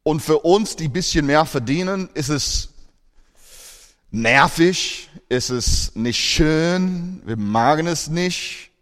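Australian-born preacher in a reverberant hall. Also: male voice, on the high side, expressive, slow, fairly bright tone. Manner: earnest, speaks German with non-native grammar slips. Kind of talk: sermon.

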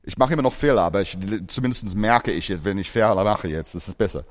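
A man's voice: on the low side, expressive, fast, in a large hall. A man born in Australia preaching a sermon in German, earnest, with grammar slips.

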